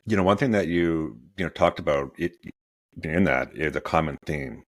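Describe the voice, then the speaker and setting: Podcast host, forties, man, booming low voice, dry sound. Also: normal speed, no room sound. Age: 40s. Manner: rambling, many fillers and restarts. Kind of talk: interview conversation.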